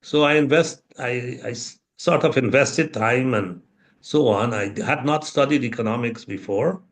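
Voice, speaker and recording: An older man from Guyana, an interview guest speaking in a measured, thoughtful way with many pauses. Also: mid-range voice. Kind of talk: reflective interview talk.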